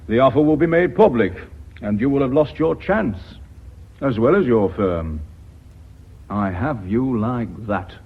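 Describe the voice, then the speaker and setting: Ruthless businessman, husky and swooping. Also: medium pitch. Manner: curt, menacing, deliberate. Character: ruthless, blunt businessman pressing a hostile takeover. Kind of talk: British radio drama acting. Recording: slightly dull radio drama recording.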